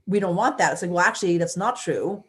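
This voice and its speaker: A woman in her fifties from the United States, a former mayor, telling her story in a loud, energetic way with many restarts. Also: on the low side, quick pace. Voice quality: loud voice, big personality. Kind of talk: casual interview storytelling.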